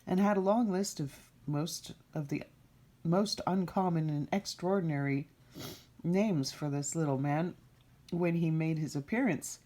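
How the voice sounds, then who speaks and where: A middle-aged woman reading a fairy tale aloud as a storyteller, deliberate and dramatic. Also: low voice, mellow.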